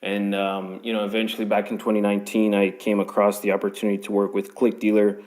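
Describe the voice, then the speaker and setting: A young man from Canada with a fairly low voice talks in an online audio chat room. He rambles easily, filling pauses with ums as he tells how he got into his work. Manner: relaxed and rambling, many ums. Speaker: guest account manager. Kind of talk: casual self-introduction on an audio chat room.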